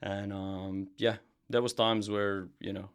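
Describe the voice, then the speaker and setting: A young man from Serbia, a podcast guest and brand founder, chatting casually in a relaxed, rambling way with lots of fillers and restarts. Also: fairly low pitch, takes it slowly, clean tone. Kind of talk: casual interview chat.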